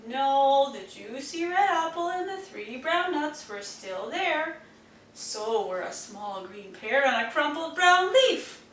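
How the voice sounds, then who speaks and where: High-pitched woman reading children's stories, echoey. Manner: expressive, deliberate storytelling for young children. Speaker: storybook reader. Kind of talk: children's read-aloud narration. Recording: roomy, distant mic, faint noise.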